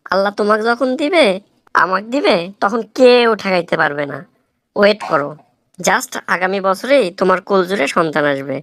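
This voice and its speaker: A woman playing a placating, boastful husband in a comic cartoon, coaxing and flattering with a rural Bangladeshi accent. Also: mid-range voice, no room sound, clean studio sound, lightly acted. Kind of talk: melodramatic comic cartoon dialogue.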